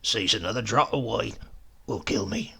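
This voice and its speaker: Storybook great-uncle: aged rustic Englishman, gravelly, breathy, grumbling, sly and feisty to the end. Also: on the low side, normal speed, a bit bright, full character voice. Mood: sly, conspiratorial. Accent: rural English. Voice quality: croaking, breathy, weary.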